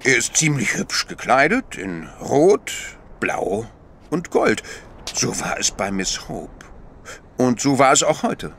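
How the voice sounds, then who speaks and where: An animated, astute priest detective. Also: male voice, medium pitch, a little hiss or hum, heavily acted. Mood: calm, explaining. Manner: deliberate, explanatory, lecturing. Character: astute priest detective, patient, gently chiding. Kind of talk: German audio drama dialogue.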